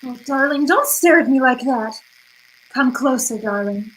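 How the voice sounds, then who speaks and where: A woman's voice: high-pitched, expressive, unhurried, fairly bright tone, slight background noise, rough recording. A woman playing an alluring, deceitful femme fatale in an old-time radio drama, with a melodramatic, pleading manner. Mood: weary, pleading.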